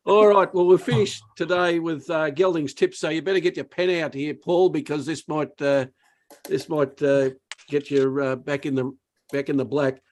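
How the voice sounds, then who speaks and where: A man hosting a sports radio show, briskly wrapping up and reading out racing tips. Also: fairly high pitch, fairly even intonation, darkish tone. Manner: brisk, reads tips aloud. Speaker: radio host. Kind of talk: radio show host wrap-up.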